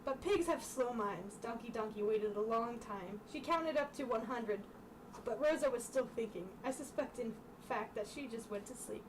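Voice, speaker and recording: A woman's voice: fairly high pitch, normal speed. A young woman reads a children's book aloud informally, dropping in casual asides and stumbling over the text. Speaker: storytime reader. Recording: distant mic, clearly noisy room sound.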